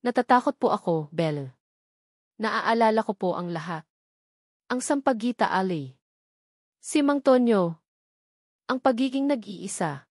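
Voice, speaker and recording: A woman's voice: fairly high pitch, expressive, measured pace, long pauses, a little mellow, no echo. A woman narrator plays a young girl in a dramatic story. The girl is vulnerable, emotional and full of love, speaking respectfully. The sound is close and dry. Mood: frightened. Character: frightened, tearful, loving young girl.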